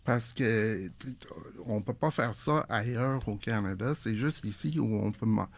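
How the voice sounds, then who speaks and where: Gravelly male interview guest, firm opinions, stammers on restarts, dry mic. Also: on the low side, measured pace, no echo. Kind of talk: interview answers.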